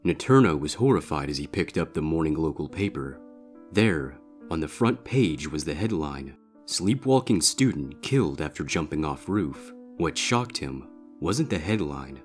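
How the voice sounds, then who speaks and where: A man's voice: very deep voice, expressive, normal speed, soft background music. A man narrating a scripted story in a dramatic reading style.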